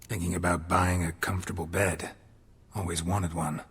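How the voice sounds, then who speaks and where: Gruff game monster hunter, deep husky voice, weary, dry understated delivery, reverberant. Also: male voice, flat delivery, normal speed, fairly bright tone, heavily acted. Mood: dry, content. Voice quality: gravelly, husky. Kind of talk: video game voice acting.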